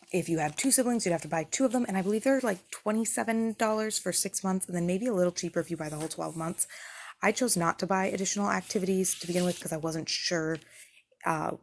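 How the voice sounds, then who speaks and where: A woman's voice: on the low side, gravelly, a little mellow. A woman in her thirties giving a casual, explanatory product review in a conversational way, like a parent vlogger. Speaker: parent reviewing children's subscription boxes.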